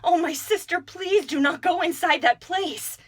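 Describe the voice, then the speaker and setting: A woman's voice: extremely high pitch, measured pace, pitched above the performer's own voice. A woman voicing a terrified young woman who begs her sister through sobs. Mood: hysterical pleading. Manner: hysterical, pleading.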